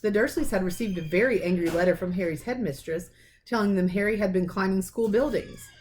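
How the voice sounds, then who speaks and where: A woman narrates a children's story in a teacher's expressive read-aloud style. Her voice sits at a middle pitch, and there is faint noise behind her. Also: a little fast. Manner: animated, acts out dialogue. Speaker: elementary teacher reading a children's novel aloud.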